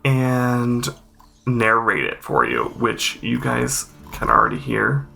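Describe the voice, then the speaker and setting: A man's voice: medium pitch, very animated, slow pace, gravelly, sharp tone, husky, faint music behind. A man hosting a game accessibility review, explaining things informally and thinking aloud as he goes. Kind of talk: informal spoken walkthrough and review commentary.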